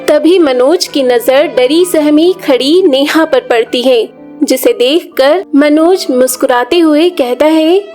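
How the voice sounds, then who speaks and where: Female narrator for animated children's folk tales, high register, storytelling read over faint background music. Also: slow pace.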